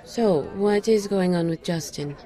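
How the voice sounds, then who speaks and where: An actress playing a petite, caring but sharp-tongued former lover in a noir audio drama, speaking earnestly and pointedly. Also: female voice, on the low side, measured pace, a faint crowd, close to an everyday voice. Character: tiny, sharp-tongued, caring female old flame, a pixie.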